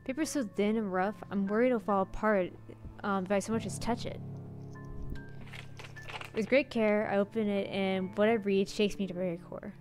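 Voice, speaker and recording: A woman's voice: medium pitch, fairly even intonation, moderate pace, pauses often, a little mellow. A woman streaming a horror visual novel, reading the narration aloud dramatically and sometimes stumbling over words. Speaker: game streamer. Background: game music.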